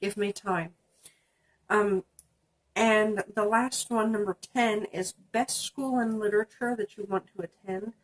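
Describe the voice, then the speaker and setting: A woman's voice: medium pitch, steady tone, measured pace, low-quality recording. Stuffy-nosed woman chatting about books. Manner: chatty, many ums, reading prompts aloud. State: stuffy from a sinus infection. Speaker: book vlogger. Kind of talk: casual book tag video.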